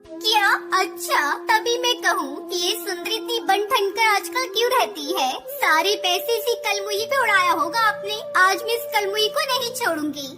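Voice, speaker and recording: A woman's voice: extremely high pitch, moderate pace, loud music behind the voice. A woman voicing a plain-spoken, emotional housewife bird in a cartoon, with a plaintive, dramatic manner. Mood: indignant. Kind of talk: Hindi cartoon dialogue acting.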